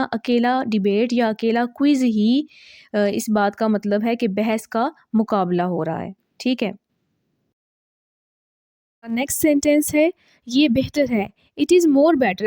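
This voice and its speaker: Woman English teacher, fairly high voice, patient and slow, stretches out example sentences. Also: warm tone, no room sound, studio quality. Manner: slow, drawn-out model sentences; checks understanding with quick asides. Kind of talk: grammar lesson explaining common mistakes.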